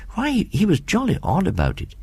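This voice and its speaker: Man as puzzled British schoolboy in an audiobook story: offhand, halting, animated swoops in pitch, dry sound. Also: vocal fry, breathy edge, no room sound, heavily acted. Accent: British English. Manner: hesitant, offhand schoolboy slang. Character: puzzled Highland schoolboy recounting something odd, offhand and hesitant. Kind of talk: audiobook character dialogue.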